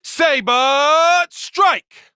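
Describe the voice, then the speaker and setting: Bright, intense young tactician fighter. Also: male voice, extremely high pitch, very slow, dry recording, clean studio sound, full character voice. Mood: battle shouting. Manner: formal, precise, intense. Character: young male tactician fighter, earnest, calculating, proud. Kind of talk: video game battle voice lines.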